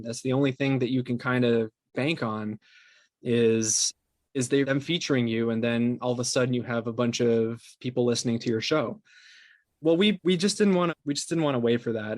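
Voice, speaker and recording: A man's voice: mid-range voice, no echo, clean studio sound. A man giving earnest, discursive answers as the guest in a conversational interview about his creative work. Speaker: interview guest, podcast creator and company co-founder.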